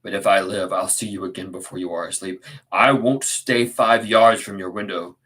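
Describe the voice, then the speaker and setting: Storybook role: male reader as dark, brooding lover, anguished, intense, passionate. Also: steady tone, moderate pace, breathy edge. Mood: fierce devotion. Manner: intense, anguished, low-spoken. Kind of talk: dramatic audiobook character reading.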